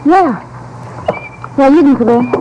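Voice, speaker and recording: An actress playing a frightened, defensive rural wife with a Southern US accent, evasive and plaintive, in an old radio drama. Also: female voice, high-pitched, measured pace, lo-fi sound. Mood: wary, defensive. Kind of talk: 1950s radio drama acting. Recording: noisy vintage radio recording.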